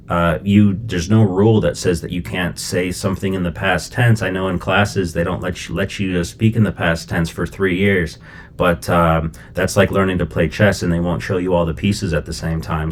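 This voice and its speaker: Deep-voiced middle-aged guy from chicago, chill and chatty, lots of "okay?" and "right?", faint hiss behind. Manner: relaxed, conversational, with frequent fillers and check-ins. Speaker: language teacher. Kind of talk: casual explanatory lesson.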